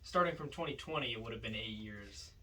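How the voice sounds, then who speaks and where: A man's voice: medium pitch, animated, fairly quick, pushed and bright. A man co-hosting a podcast, discussing films casually with informal enthusiasm. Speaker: podcast co-host. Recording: roomy, distant from the mic.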